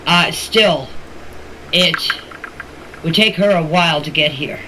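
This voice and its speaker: A woman's voice: low-pitched, takes it slowly. An older woman talking informally in voice messages to a group chat, emphatic, opinionated and repeating herself. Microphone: phone voice message. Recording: noisy amateur voice message recording.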